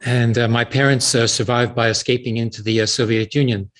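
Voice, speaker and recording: A man's voice: medium pitch, fairly even intonation, brisk, a bit bright. A Canadian man in his seventies whose first language is Yiddish speaks as a guest on a video call, hesitant and full of fillers as he searches for words. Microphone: webcam on a video call.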